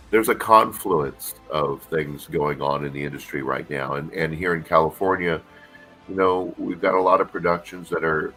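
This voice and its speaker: A middle-aged man, an actor guest with a deep voice, talking casually in an interview with lots of fillers and restarts. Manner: relaxed, rambling, many fillers and restarts.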